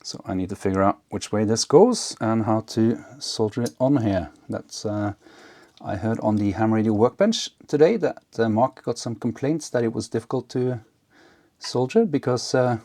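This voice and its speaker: A man's voice: fairly low pitch, animated, normal speed, dark tone. A man giving a casual hands-on demonstration, thinking aloud hesitantly as he works. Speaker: host of an electronics and ham radio build video.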